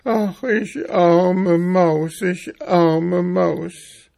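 A man's voice: sits high, extremely slow, gravelly, a little mellow, voice pushed up, full character voice. A man voicing a poor, hungry female mouse in a storybook, plaintive and politely begging. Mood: plaintive, woeful.

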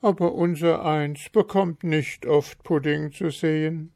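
A man voicing a bogeyman's meek wife in a storybook reading, soft and obliging. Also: fairly high pitch, steady tone, takes it slowly, vocal fry, dry recording, pitched above the performer's own voice.

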